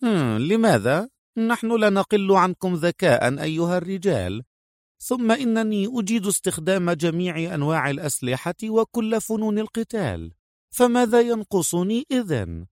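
A man voicing a soft-spoken, proud young woman intelligence officer in an audiobook. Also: high-pitched, a little fast, no room sound, studio quality, pitched above the performer's own voice. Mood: defiant. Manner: hushed, breathy whisper at times, calm. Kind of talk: acted audiobook dialogue.

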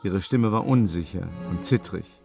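A haughty, uneasy gentleman narrates in a lowish voice for a radio play. His delivery is measured and suspenseful, with faint music behind him. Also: vocal fry. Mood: disdainful. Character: shaken male opera-goer recounting a haunting, haughty, uneasy. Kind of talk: dramatic first-person radio drama narration.

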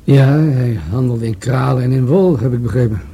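An actor playing a probing, dryly skeptical police detective in a radio play, questioning in a measured way. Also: male voice, mid-range voice, dark tone, a little hiss or hum, lightly acted. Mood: skeptical, musing. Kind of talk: Dutch radio play acting.

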